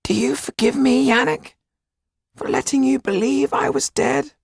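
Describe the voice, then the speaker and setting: A man voicing a small, affectionate, excitable older man in an audiobook, with a high, husky, creaky voice and an emphatic, fussing manner. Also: a little slow, no room sound, full character voice. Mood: earnest, tender. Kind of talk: audiobook character acting.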